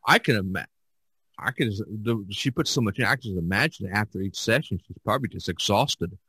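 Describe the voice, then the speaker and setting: Male podcast host, middle pitch, bright forceful voice, halting and repetitive, close dry sound. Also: breathy edge, no room sound. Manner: halting, with repeated words and frequent "you know". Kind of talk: casual discussion of art practice.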